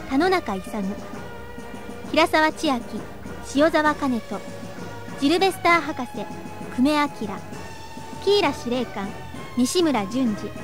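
A woman announcer reading scripted credits in a formal, measured way. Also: high voice.

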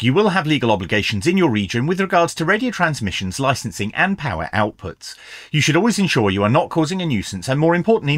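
A man's voice: medium pitch, fairly bright tone, dry recording, clean studio sound. A middle-aged man giving a methodical, explanatory product review in an instructional style. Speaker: radio reviewer, presenter.

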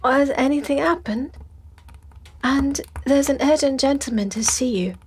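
Woman acting a loud, fussing, high-pitched landlady. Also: normal speed, slight background noise, close to an everyday voice. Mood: alarmed, fussing. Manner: loud, voluble. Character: loud, voluble working-class landlady, fussing and scolding.

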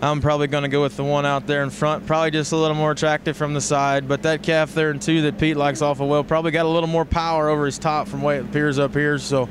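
An American man calls a livestock show in a measured, matter-of-fact voice. His pitch sits a little high and moves very little. Clear background noise of a big indoor arena surrounds him. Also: level tone. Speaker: livestock show commentator and host. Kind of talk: live show commentary. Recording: noisy livestock arena ambience.

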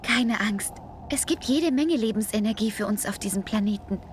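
A woman's voice: medium pitch, moderate pace, slight background noise, lightly acted. An actress playing a sly, haughty female alien villain in an audio drama. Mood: scheming. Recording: music under the voice.

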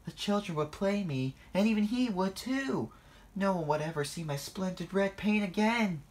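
A vain locomotive, voiced by a man, fretting and complaining. The pitch creeps upward with self-pity, in a homemade storybook telling. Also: very animated, moderate pace, close to an everyday voice. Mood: dismayed. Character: vain, fretful male steam engine.

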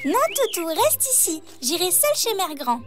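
A woman's voice: extremely high pitch, very animated, normal speed. A woman voicing a trusting, polite little girl in a children's cartoon, with an earnest sing-song delivery. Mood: polite, cheerful. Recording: music playing behind. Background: background music.

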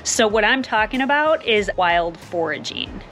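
A woman's voice: mid-range voice, lively intonation, unhurried, over music. Chatty woman vlogging from her porch. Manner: enthusiastic, chatty, repeats words for emphasis. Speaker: homesteading vlogger. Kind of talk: casual vlog talk to camera. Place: covered back porch of a house, outdoors. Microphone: phone camera at arm's length.

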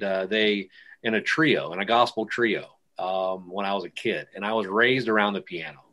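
A man's voice: mid-range voice, a bit bright. A middle-aged man, a pastor and guitarist, rambling through stories in a casual interview with many restarts.

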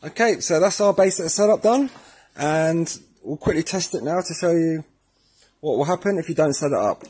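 A man presenting a casual step-by-step tutorial with a slightly husky voice, informally talking through each step as he does it. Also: on the high side, lively intonation, normal speed, darkish tone, slight background noise.

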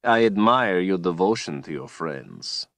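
Silky taunting tyrant, put on by a male reader, lively rises and falls, dry close sound. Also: low voice, unhurried, no room sound, heavily acted. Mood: mocking. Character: smooth cruel male tyrant, mocking.

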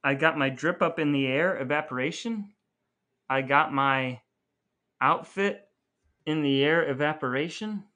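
A man's voice: on the high side, a little slow, pushed and bright. A man co-hosting a podcast with casual, comedic talk. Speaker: podcast co-host.

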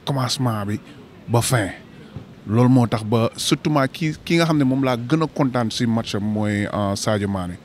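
Emphatic male fan outside, mid pitch, faint street ambience. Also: moderate pace, slight background noise. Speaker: football fan interviewed. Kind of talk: street interview. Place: snowy city street outdoors. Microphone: handheld mic.

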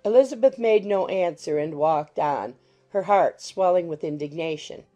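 A woman's voice: fairly low pitch, lively intonation, moderate pace, mellow, faint music behind. A woman reading scripted literary narration for an audiobook.

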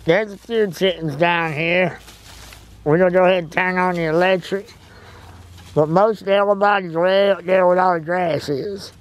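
Easygoing man, fairly high voice. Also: steady tone, moderate pace, slight background noise, low-quality recording. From United States. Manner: easygoing, folksy, talks while working. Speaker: homesteader vlogger. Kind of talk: casual chat while doing chores. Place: outdoor backyard chicken coop. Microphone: camera on wheelchair and handheld selfie. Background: faint birds and outdoor ambience.

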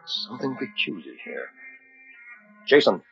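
An actor in an old-time radio drama playing a wary, commanding gentleman with a clipped, urgent delivery. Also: lowish voice, sing-song, a little slow, vocal fry, highs cut off, soft background music, full character voice. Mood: suspicious, urgent. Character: commanding, wary gentleman investigating strange events.

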